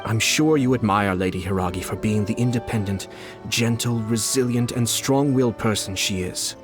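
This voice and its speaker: A composed noble commissioner, played by a man in a game cutscene, low and unhurried. He weighs every word with courteous calculation. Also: music in the background, close to an everyday voice. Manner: measured, polite and calculating. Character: calm, scheming young male noble commissioner. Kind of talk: video game cutscene dialogue.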